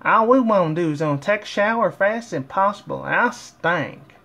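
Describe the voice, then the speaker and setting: A man's voice: high-pitched, expressive, moderate pace, vocal fry, bright, forceful tone, pitched above the performer's own voice, heavily acted. A man voicing a blunt, grumbling female cartoon farm pony who complains insistently.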